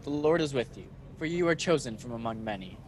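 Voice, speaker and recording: A man playing a calm, authoritative messenger angel in a radio play, speaking in a solemn, measured way. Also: mid-range voice, slight background noise, natural voice, low-quality recording. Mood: solemn, proclaiming.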